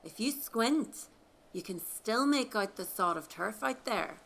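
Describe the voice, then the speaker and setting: A woman's voice: mid-range voice, lively intonation, a little slow, vocal fry, a bit bright, full character voice. A woman playing a wry Irish wife. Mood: mock scolding. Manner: matter-of-fact, wry. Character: quick-witted, unflappable Irish wife, calmly cunning. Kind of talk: audio drama legend acting.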